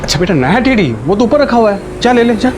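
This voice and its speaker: Easygoing, indulgent dad in TV drama; brisk male delivery with music behind. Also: sits high, expressive. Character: easygoing, indulgent father and husband. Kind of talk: TV drama acting.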